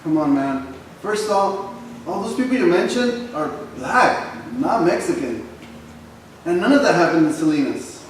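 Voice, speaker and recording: A man's voice: a little high, very animated, unhurried. A man playing a skeptical young guy who casually argues in defense of police in a staged play reading. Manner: casual, argumentative. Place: theater hall, recorded from the audience. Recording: roomy, distant stage recording with faint noise.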